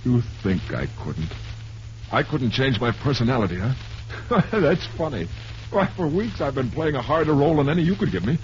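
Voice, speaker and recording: An actor playing a desperate down-and-out performer in an old-time radio drama, pleading earnestly and haltingly. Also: male voice, mid-range voice, very animated, a little mellow, natural voice. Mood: agitated, defiant. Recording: muffled, hissy old radio recording.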